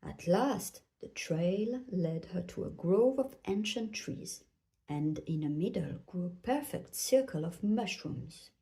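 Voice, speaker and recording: A woman reading a children's story aloud in an expressive narrating style. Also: lowish voice, slow. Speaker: children's book reader.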